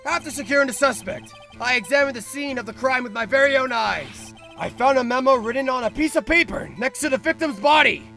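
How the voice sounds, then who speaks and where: A man voicing a bumbling, stammering police detective with a gravelly voice, in a fan-dubbed courtroom drama. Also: very high-pitched, brisk, few pauses, sharp tone, soft background music, heavily acted. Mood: blustering.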